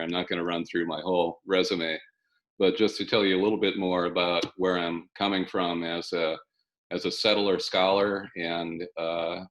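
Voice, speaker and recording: A man in his sixties from South Dakota, giving a halting, restart-filled panel talk over a video call from his basement. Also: low voice, a bit bright, highs cut off. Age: 60s. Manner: measured, halting, with many restarts. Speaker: panelist, fire scholar. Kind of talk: online panel presentation. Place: home basement.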